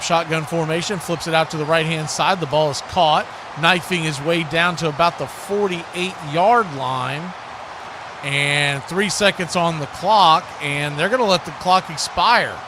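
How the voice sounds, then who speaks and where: A man's voice: a little high, noisy background. A man giving live play-by-play commentary on a football game.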